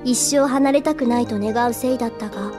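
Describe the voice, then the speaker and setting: A woman as a high-voiced, earnest younger schoolgirl. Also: brisk, music in the background, natural voice. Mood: wistful, narrating. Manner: polite, eager, a little timid. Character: earnest, deferential younger schoolgirl, sweet and honest. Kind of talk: Japanese audio drama acting.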